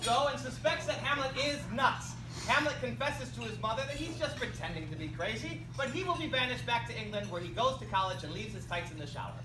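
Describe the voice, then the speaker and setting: A woman narrating a comic school stage play from a script to a live audience, with wry, theatrical asides. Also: fairly high pitch. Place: indoor live performance, distant microphone. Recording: echoey, noisy room recorded from far off.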